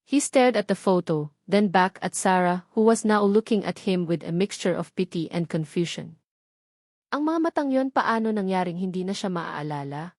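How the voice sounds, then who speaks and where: A woman's voice: lowish voice, lively intonation, normal speed, darkish tone, no room sound. Woman narrating a romance, dry studio sound. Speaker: audiobook narrator. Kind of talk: scripted romantic story narration.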